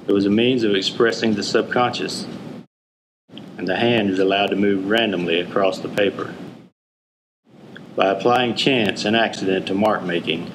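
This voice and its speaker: A man delivers scripted educational narration. His voice sits somewhat low in pitch. There is noticeable noise behind him throughout the recording.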